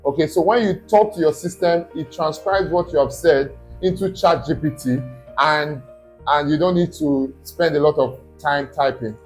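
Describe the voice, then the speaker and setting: A man's voice: fairly high pitch, normal speed, soft background music. A man, a study abroad consultant, walks viewers through a screen-recorded tutorial step by step and keeps checking in with "okay?".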